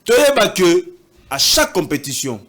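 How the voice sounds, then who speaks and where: A man's voice: high-pitched, very animated, normal speed, fairly bright tone. A man from Congo with a Congolese French accent, an opposition party official, earnestly mixing Lingala and French in a casual interview.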